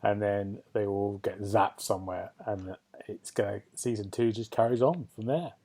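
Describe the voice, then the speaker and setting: A man's voice: on the low side, moderate pace, warm tone. A man hosting a podcast, chatting casually about games and television in a rambling, enthusiastic way. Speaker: podcast host.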